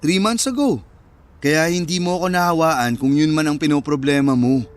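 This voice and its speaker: Man acting a higher-voiced cocky young ex-boyfriend. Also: normal speed, natural voice. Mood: defensive, dismissive. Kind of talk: Filipino radio drama acting. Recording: music bed under the dialogue. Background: music.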